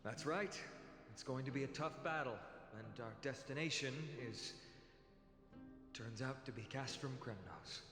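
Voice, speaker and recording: An actor playing a loyal, earnest young warrior hero in a fantasy game, speaking with confidence. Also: male voice, medium pitch, measured pace, natural voice. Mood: easy, confident. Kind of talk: dramatic fantasy game voice acting. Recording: echoey hall with music behind.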